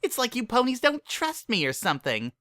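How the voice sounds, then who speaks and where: A narrator voicing an excitable, chatty young female cartoon party pony with a squeaky, girlish, bubbly delivery. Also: a little fast, no room sound, studio quality. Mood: indignant. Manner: bubbly, gushing, emphatic.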